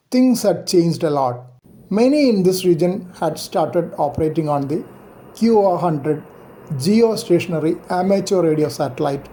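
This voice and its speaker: An older man narrates from a script in a high-pitched, soft-edged voice. His delivery is measured and explanatory, like a hobbyist presenter sharing what he knows. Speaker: amateur radio enthusiast presenting.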